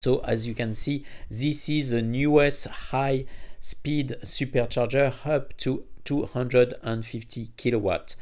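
A man narrating a travel vlog about a charging stop and a city visit, speaking haltingly with many fillers and phrasing. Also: mid-range voice, darkish tone. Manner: halting, frequent uh fillers, non-native phrasing. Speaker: travel vlogger reviewing EV chargers.

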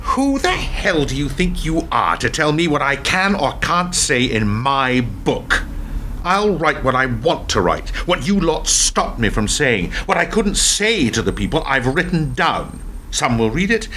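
A man's voice: on the high side, sing-song, a little slow, sharp tone, a little hiss or hum, heavily acted. A man acting in a radio play as a defiant, wry former prime minister, speaking emphatically and articulately.

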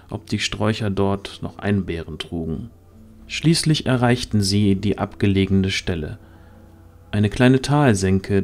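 A man's voice: fairly low pitch, darkish tone. A man reading scripted narration for a fantasy audio drama.

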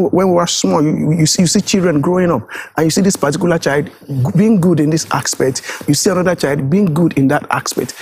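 A man's voice: a little high, a little mellow, breathy edge. A man from Nigeria, a pastor and youth evangelist, answering a studio interview emphatically and repeating his phrases. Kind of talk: earnest guest answers in an interview.

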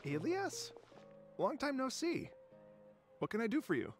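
A man's voice: extremely high pitch, wildly swooping pitch, a little slow, faint music behind, full character voice. A man voicing an easygoing, chatty, somewhat evasive young male academic in a video game. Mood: curious, casual.

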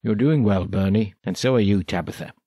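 A low, husky male group leader, put on by a narrator, chatty and probing, as heard in an audiobook. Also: fairly quick, dark tone. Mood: inquisitive.

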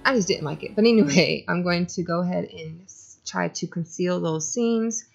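A young woman walks through a home build step by step in a relaxed, plain way. Her voice sits low with a gravelly edge. The sound is slightly dull, with faint music behind her. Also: moderate pace. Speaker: DIY vlogger. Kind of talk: casual how-to narration. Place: small closet in a house.

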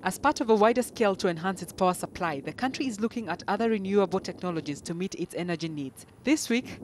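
A woman news reporter reading a scripted business news voice-over in a measured, formal way. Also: medium pitch, slight background noise.

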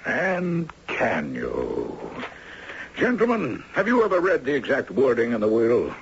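A man playing a shrewd, dogged elderly retired judge in a 1970s radio drama, speaking with husky, theatrical courtroom formality. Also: medium pitch, wildly swooping pitch, a little slow, a little hiss or hum, exaggerated voice. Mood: triumphant. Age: older. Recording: dull 1970s radio recording.

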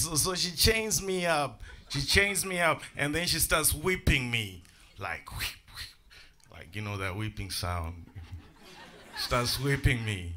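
A young man from Zimbabwe doing a stand-up set on a comedy club stage with a handheld mic, animated and laughing at his own jokes, jumping into shouted bits. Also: a little high. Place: comedy club stage before a live audience.

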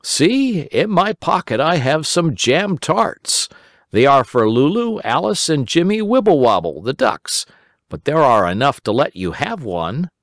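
A man voicing a kindly old rabbit gentleman who speaks gently and helpfully. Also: a little high, big pitch swings, unhurried, no echo, studio quality, pitched above the performer's own voice.

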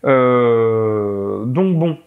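A man's voice: mid-range voice, expressive, very slow, narrow, tinny audio. A young man with a head cold, casually explaining his home film developing with many fillers and restarts. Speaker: film photography youtuber. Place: home darkroom corner, then a dim studio room. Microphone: camera mic, then a studio mic close up.

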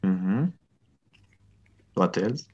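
Guy teaching on a video call, super low voice, lo-fi audio. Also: lively intonation, drawn-out words, a little mellow, soft background music. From Morocco. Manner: friendly, encouraging, repeats questions. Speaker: lecturer. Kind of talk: online class introductions. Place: indoor room, tiled wall.

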